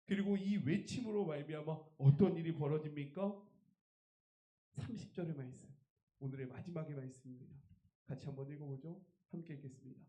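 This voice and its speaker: A man's voice: fairly high pitch, brisk, dark tone, roomy sound. A man preaching a revival sermon as a pastor, emphatic and putting rhetorical questions to his congregation. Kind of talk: Korean church revival sermon.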